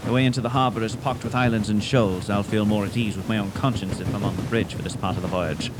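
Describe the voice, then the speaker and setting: A man's voice: lowish voice, fairly even intonation, quick pace, very noisy, lightly acted. A man playing a grave, conscientious ship's captain in a radio drama, formal and authoritative. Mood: grave, composed.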